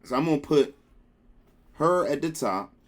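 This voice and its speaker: A man's voice: fairly high pitch, expressive, a little slow. A man hosting a reaction video at home, chatting casually with playful slang.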